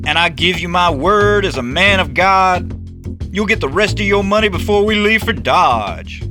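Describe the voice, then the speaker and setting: A man's voice: high-pitched, lively intonation, normal speed, music in the background, voice pushed up. A man voicing a brash, pushy conman who poses as a preacher, cocky and commanding in an audiobook story.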